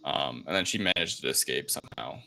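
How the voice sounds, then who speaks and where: Bright-voiced, low-pitched man chatting. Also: brisk, gravelly. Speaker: podcast co-host. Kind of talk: casual film review chat.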